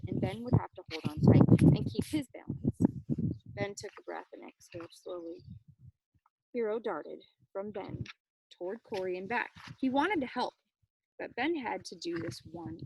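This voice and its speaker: A woman's voice: medium pitch, gravelly, noisy background. A woman reading a children's novel aloud with dramatic, suspenseful narration. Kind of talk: expressive read-aloud narration.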